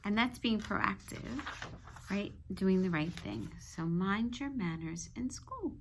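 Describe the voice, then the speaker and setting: A woman teacher reading a children's book aloud, pausing to explain simply and check in with her young listeners. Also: mid-range voice, lively intonation, background noise. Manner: instructive, addressing young children with check-in questions.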